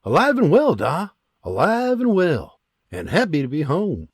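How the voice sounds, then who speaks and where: A man's voice: a little high, huge pitch swings, measured pace, breathy edge, no echo, clean studio sound, pitched above the performer's own voice, heavily acted. A man voicing a cheerful, affectionate young soldier returning home, in an audiobook.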